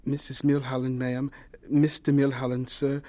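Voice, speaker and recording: A man's voice: medium pitch, monotone, moderate pace, dark tone, close to an everyday voice. An actor playing an earnest, polite young male cook in a radio drama, deferential yet frank and given to exclamation. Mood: wistful, hesitant.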